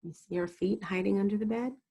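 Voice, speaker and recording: Expressive woman reading aloud, slightly husky. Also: mid-range voice, a little fast. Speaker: storyteller reading a picture book aloud to children. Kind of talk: expressive read-aloud narration.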